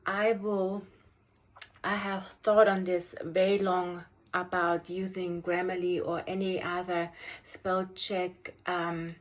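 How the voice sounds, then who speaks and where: A special education teacher with a German accent, German as her first language, giving an unscripted app review in a halting way with many ums and restarts. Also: fairly low pitch, little pitch movement.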